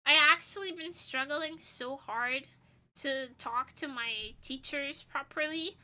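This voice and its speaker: A woman's voice: high voice, slow, sharp tone. A young woman streamer whose first language is Portuguese, chatting casually while tipsy, giggling and rambling with crude jokes. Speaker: vtuber streamer.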